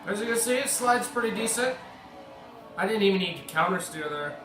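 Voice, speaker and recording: A slightly sick American man chats loosely through his gameplay, correcting himself as he goes. His voice sits a touch high for a man and carries the echo of a bare room. He is a little away from the mic, with faint music underneath. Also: expressive, brisk, recorded from a distance. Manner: chatty, rambling, corrects himself. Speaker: gaming video creator. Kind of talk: casual gameplay commentary.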